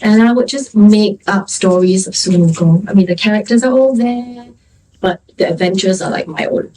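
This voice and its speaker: A woman from Singapore speaking casually on a festival panel, hesitant with many pauses. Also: mid-range voice, dark tone, recorded from a distance, slight background noise, poor audio. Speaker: author on a panel. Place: festival venue.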